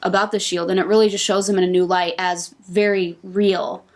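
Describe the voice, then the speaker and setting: A woman's voice: fairly low pitch, little pitch movement, brisk. A woman speaking as a podcast panelist in casual discussion.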